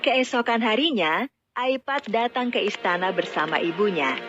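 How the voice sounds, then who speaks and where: A woman's voice: medium pitch, animated, moderate pace. A woman narrating a scripted fairy tale as a storyteller. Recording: dull lo-fi audio, music bed. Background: background music.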